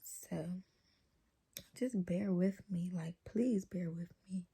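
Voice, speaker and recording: A young woman vlogger with a naturally low voice, chatting casually to a camera held close to her face, talking softly and rambling with lots of fillers. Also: takes it slowly, breathy edge. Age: young adult.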